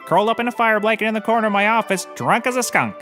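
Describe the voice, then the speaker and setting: A man's voice: high voice, racing, bright, forceful tone, faint music behind. An actor playing a shameless, boozy private investigator in a scripted comedy, rambling and oblivious as he gives courtroom testimony. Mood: eager, rambling. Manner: rambling, casually confessing, oblivious.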